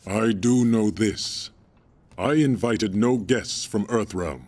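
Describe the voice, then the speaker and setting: A man playing an imperious, suspicious warrior emperor in a video game, husky, stately and formal. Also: lowish voice, slow, darkish tone. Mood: stern suspicion. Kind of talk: dramatic video game cutscene acting.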